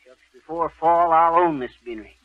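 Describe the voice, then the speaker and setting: A man's voice: a little high, a little fast, natural voice. A man playing a boastful, folksy American husband full of tall tales in a 1930s radio comedy. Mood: cocky, folksy. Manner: folksy, bragging, alliterative patter. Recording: dull, noisy 1930s radio recording.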